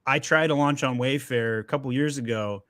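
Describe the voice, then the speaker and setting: A man's voice: mid-range voice, fairly quick, a bit bright, no echo, studio quality. A man hosting a live Q&A, chatty and jokey, who goes off on tangents and laughs easily. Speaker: host.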